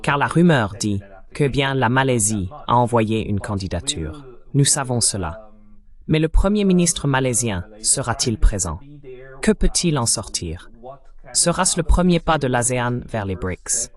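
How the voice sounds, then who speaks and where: A man's voice: medium pitch, very animated, unhurried. A man delivering a French voice-over translation of an interview, speaking as an interpreter for the participants.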